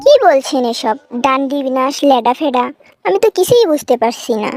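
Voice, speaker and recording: A woman's voice: high voice, lively intonation, a little fast, background noise. A woman playing a polite, curious young university student from the city in a cartoon comedy, with a standard Dhaka accent and a questioning manner. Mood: puzzled. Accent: standard Dhaka Bangla.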